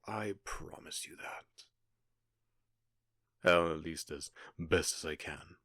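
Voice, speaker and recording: Man playing a deep, slow-talking, menacing gang boss. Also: no echo, clean studio sound, exaggerated voice. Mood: coolly threatening. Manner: theatrical, drawn-out pauses, mock-polite menace. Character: menacing male outlaw gang boss, smug, taunting, cruel. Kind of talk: ASMR roleplay monologue.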